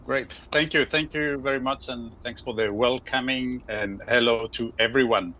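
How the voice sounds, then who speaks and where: A man's voice: mid-range voice, fairly even intonation, moderate pace, sharp tone, a little hiss or hum. A man from Greece giving an earnest, prepared self-introduction as a researcher over a video call. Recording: dull lo-fi sound.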